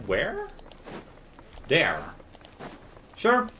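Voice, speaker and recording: A man's voice: a little high, huge pitch swings, drawn-out words, bright, forceful tone, a little hiss or hum. A man livestreaming a video game with casual commentary, often talking to himself as he plays. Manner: muttering reactions, talks to himself. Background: faint music.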